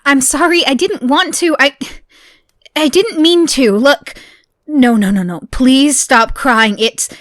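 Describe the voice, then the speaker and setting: A slow, hushed young woman obsessed with her captive, as a woman acts her out, her murderous devotion carried in soft whispers. She drifts from chilling calm to frantic apology and back. The voice sits close and dry, with no room around it. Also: sits high, dry recording. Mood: frantic, soothing. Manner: switches between menacing calm and frantic apology. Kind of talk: audio roleplay to a listener.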